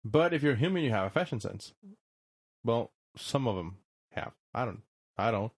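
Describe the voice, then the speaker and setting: A man's voice: medium pitch, expressive, normal speed, breathy edge. A man co-hosting a casual podcast, loose and joking, laughing often. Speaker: podcast co-host. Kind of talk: casual chat.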